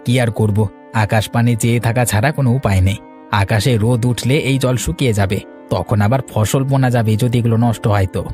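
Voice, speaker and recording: A man's voice: mid-range voice, fairly even intonation, fast, a little mellow, faint music behind, lightly acted. A man voicing a sensible, resigned village farmer in a cartoon story, giving advice like an elder brother in a matter-of-fact way. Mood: reproving, practical.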